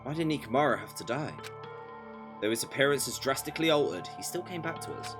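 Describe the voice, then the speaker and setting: A man's voice: mid-range voice, lively intonation, fast talker. A man live-streaming a visual novel, reading dialogue aloud dramatically and breaking in with incredulous wisecracks. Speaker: game streamer. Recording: game music behind voice. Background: game music.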